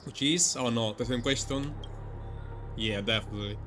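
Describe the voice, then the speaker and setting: A man's voice: mid-range voice, normal speed, music in the background. A man giving casual commentary on a game, reacting and thinking aloud in a hesitant way. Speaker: gaming streamer.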